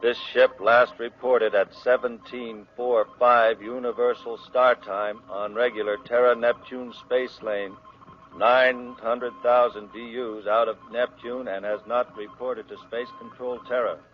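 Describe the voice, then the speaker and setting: An actor playing a businesslike space traffic supervisor, reporting in a clipped, official way in a 1950s radio serial. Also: male voice, medium pitch, flat delivery, measured pace, close to an everyday voice. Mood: formal, concerned. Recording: dull vintage radio, faint music behind.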